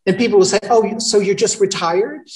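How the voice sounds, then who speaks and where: A man's voice: high voice, steady tone, moderate pace, a little mellow, low-quality recording. A middle-aged man co-hosting a casual video call chat, talking in a rambling, easygoing way. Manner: chatty, rambling, with restarts. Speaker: co-host, painter. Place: home studio with paintings on walls.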